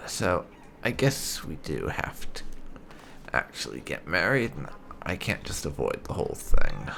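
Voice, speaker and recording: A man's voice: a bass voice, huge pitch swings, a little slow, creaky, a bit bright, slight background noise. A man giving roleplay commentary over a game playthrough, musing and hesitant, with a dry delivery. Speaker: gaming video host.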